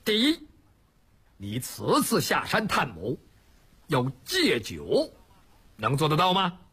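Man acting firm elder-brother chieftain, storytelling style, measured, raspy, dropped pitch. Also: wildly swooping pitch, bright, forceful tone, heavily acted. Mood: stern. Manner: measured, admonishing, deliberate. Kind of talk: traditional Chinese storytelling performance.

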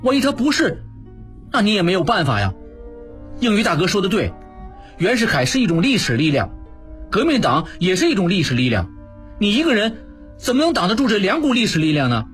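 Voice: mid-range voice, huge pitch swings, brisk, pauses often, faint music behind. A narrator playing a level-headed man who reasons emphatically with a companion in an audiobook. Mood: persuasive, emphatic.

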